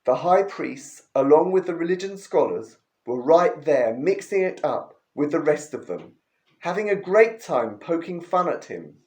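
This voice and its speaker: A man reading a chapter of the Bible aloud for a church, slowly and expressively. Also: on the high side, sing-song, a little mellow. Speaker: scripture reader. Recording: roomy echo.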